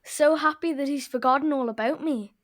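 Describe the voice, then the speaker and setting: A woman's voice: sits high, fairly quick, lightly acted. A woman playing a hurt, stubborn young girl with a petulant manner in a children's audio drama. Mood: angry, sulky. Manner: sulky, emphatic, petulant. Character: hurt, stubborn ten-year-old girl, grieving her mother.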